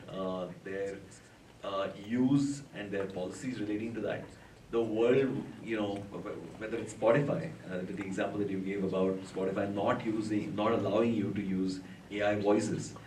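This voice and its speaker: A man's voice: on the low side, a little mellow. A man on a festival panel, talking hesitantly with many fillers about technology and ethics. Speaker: film industry panelist. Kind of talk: panel discussion. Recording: echoey room, far from mic, noisy.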